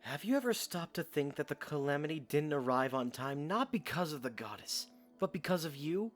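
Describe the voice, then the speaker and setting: A man's voice: fairly high pitch, big pitch swings, moderate pace, soft background music. A man playing a loyal young soldier in an audio drama, blunt, protective and earnest. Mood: earnest, encouraging. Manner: earnest, direct.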